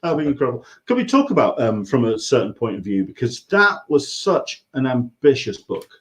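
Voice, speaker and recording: Halting English male interview host. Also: mid-range voice, very animated. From England. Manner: halting, searching for words. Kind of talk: casual livestream interview.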